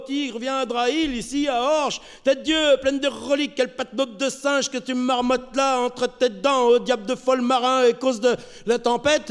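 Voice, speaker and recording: A man's voice: very high-pitched, smooth, long reverb tail. A man voicing a foul-mouthed, bullying monk who rants, swears and barks orders, in theatrical storytelling. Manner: ranting, swearing, barking orders. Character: blustering foul-mouthed hard-drinking monk sailor, bullying and cursing.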